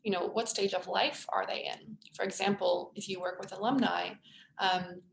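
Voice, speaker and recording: A woman runs an online workshop, her voice carrying a gravelly fry. She talks things through thoughtfully, with plenty of ums and restarts. The video-call audio is roomy and echoey. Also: medium pitch, steady tone, moderate pace, a little hiss or hum. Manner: thoughtful, many fillers and restarts. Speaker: workshop facilitator.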